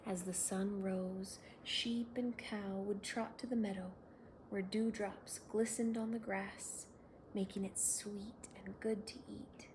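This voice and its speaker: A woman hosting a children's read-aloud, telling a bedtime story in a gentle, expressive way. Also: medium pitch, slow pace, background noise. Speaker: children's story reader and host.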